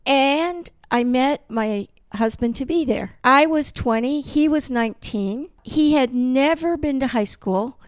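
A middle-aged woman from Colombia, Spanish her first language, telling her life story as a podcast guest in an earnest, animated way. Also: high voice, slow, hardly any pauses, dry recording. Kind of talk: personal testimony in an interview.

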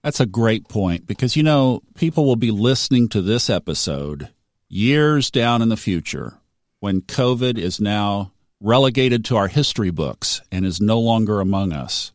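A man's voice: lowish voice, expressive, moderate pace, no echo, studio quality. A man hosting a podcast, talking in an earnest, reflective and upbeat way. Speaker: podcast host. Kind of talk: casual podcast chat.

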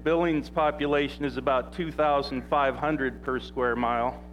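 A pastor preaches in a relaxed, wandering manner, stopping often on small fillers and restarting his sentences. His pitch sits in an ordinary middle range, and music plays clearly behind him in the church. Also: rough recording. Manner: rambling and conversational, full of fillers and restarts; says he has a loud voice. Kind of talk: informal sermon.

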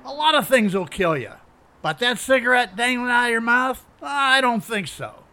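A cranky, crude old war veteran. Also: male voice, extremely high pitch, sharp tone, pitched above the performer's own voice, exaggerated voice. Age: older. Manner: gruff, crude, ranting. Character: cantankerous foul-mouthed old male war veteran. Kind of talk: audiobook character voice.

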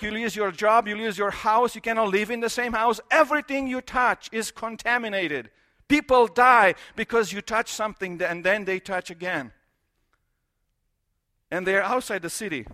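A middle-aged man preaches a sermon in English, his voice pitched high for a man. His tone is bright and forceful. He drives home each point by stacking repeated phrases and rhetorical questions. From Romania.

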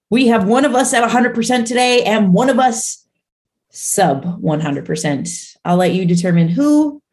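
A woman's voice: medium pitch, darkish tone. A young adult woman co-hosting a fitness podcast, talking casually with lots of fillers and restarts. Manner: chatty, full of fillers and restarts. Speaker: podcast co-host. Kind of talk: casual chat.

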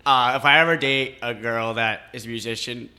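A man's voice: mid-range voice, fairly even intonation, normal speed, pushed and bright. Rambling young man on a podcast. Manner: rambling, joking, many restarts. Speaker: podcast co-host. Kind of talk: casual chat.

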